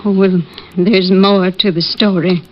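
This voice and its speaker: A woman playing a gentle, anxious middle-aged widow, politely hesitant, in 1940s radio drama style. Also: mid-range voice, little pitch movement, unhurried, natural voice. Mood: worried. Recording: muffled old-time radio recording.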